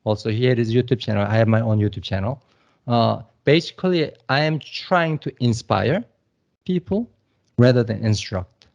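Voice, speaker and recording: A middle-aged man with a Korean accent, Korean his first language, chatting as a guest teacher on a video call, relaxed and playful. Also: medium pitch, normal speed, gravelly, dull audio. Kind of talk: casual interview over video chat.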